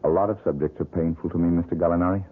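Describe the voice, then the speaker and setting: World-weary painter; low, raspy, dry and sardonic, lo-fi broadcast sound. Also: male voice, fairly even intonation, very fast. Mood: bitter, guarded. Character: bitter, weary male painter, son of a dead actress. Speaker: painter character. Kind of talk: 1970s radio drama acting. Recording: tinny lo-fi old radio broadcast.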